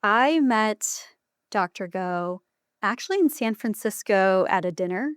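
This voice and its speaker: A woman's voice: medium pitch, expressive, measured pace, clear, resonant tone, dry recording, studio quality. A woman speaking as a clinician guest on a podcast, answering in reflective, explanatory interview talk.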